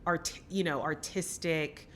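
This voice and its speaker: A woman's voice: sits low, fairly even intonation, slow pace, a bit bright, slight background noise. A woman co-hosting a film podcast, giving opinionated, emphatic takes in casual conversation.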